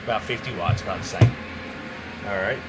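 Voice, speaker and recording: A man's voice: lowish voice, a little fast, over music, rough recording. A man giving a casual, rambling hands-on product demo, muttering to himself as he goes. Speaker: radio seller demonstrating a CB radio.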